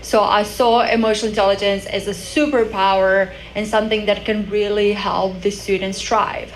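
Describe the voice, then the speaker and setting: A woman's voice: mid-range voice, little pitch movement, normal speed, rough recording. A young woman with a Croatian accent telling her personal story as a startup founder on a conference panel. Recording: faint background noise, live event microphone.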